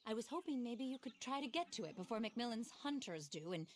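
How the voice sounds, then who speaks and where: A woman acting as an earnest, eccentric lady naturalist in a video game drama. Her voice sits fairly high and swings widely in pitch, with a genteel, theatrical fervour that readily turns flustered. There is clear ambient noise behind her. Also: animated, brisk, a little mellow, natural voice. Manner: genteel, fervent, indignant, theatrical. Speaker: character in a video game cutscene.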